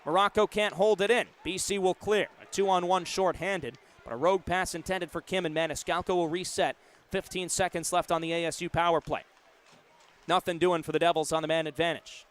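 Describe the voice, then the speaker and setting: Young guy doing live hockey radio call, kinda high voice, clipped running play by play. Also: steady tone, brisk, clear, resonant tone. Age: 20s. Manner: clipped, vivid running narration of the action. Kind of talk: live radio sports commentary.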